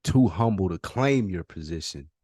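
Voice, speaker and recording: Male host, slightly deep, casual slangy delivery, dry podcast sound. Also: lively intonation, moderate pace, mellow, slightly rough, no room sound. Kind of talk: casual hosted conversation.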